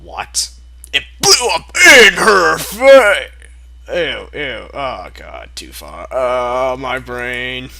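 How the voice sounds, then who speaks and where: A man's voice: mid-range voice, very animated, drawn-out words, creaky, bright, forceful tone. A man reading a fan story aloud, breaking off into mocking asides and bursts of laughter. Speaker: reader. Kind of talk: comedic fanfic reading.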